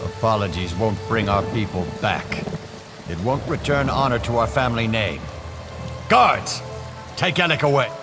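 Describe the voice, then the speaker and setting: A man's voice: fairly low pitch, expressive, moderate pace, over music. A man playing a stern, proud middle-aged lord and father in a fantasy video game, formal and commanding. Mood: stern, angry. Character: stern, proud noble father and ruler, dutiful.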